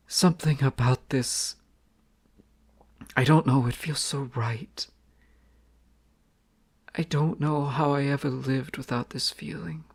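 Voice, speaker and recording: A man voicing a bashful young crown prince in an intimate fantasy audio drama. He speaks at an unhurried, lingering pace, tender and lovestruck. His delivery stays soft and close, often slipping into a hushed whisper. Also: medium pitch, breathy edge. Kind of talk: romantic audio roleplay.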